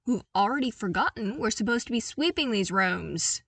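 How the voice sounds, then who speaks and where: A woman's voice: on the high side, lively intonation, moderate pace, gravelly, a bit bright, slightly rough, dry recording, pitched above the performer's own voice, heavily acted. A woman voicing a dutiful male military commander, formal and firm, in a fan fiction audiobook.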